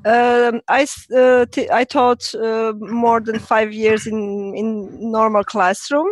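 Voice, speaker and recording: A woman from Croatia, a native Croatian speaker, introducing herself in halting English as a German teacher on a panel. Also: a little high, little pitch movement, no room sound. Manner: halting, self-correcting.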